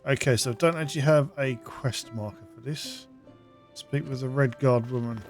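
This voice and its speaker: A man hosts a casual gaming playthrough in a chatty, self-narrating way. His voice sits at a middle pitch, with faint music playing behind him. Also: moderate pace. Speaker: gaming video host. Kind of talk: casual game playthrough commentary, reading aloud. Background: soft game music.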